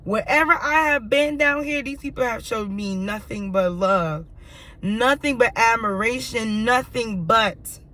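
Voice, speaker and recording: A woman with a raspy, hoarse voice from yelling, talking through a candid personal monologue in an emphatic, repetitive way and swearing freely. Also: a little high, measured pace. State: hoarse, dry throat from yelling. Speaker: vlogger.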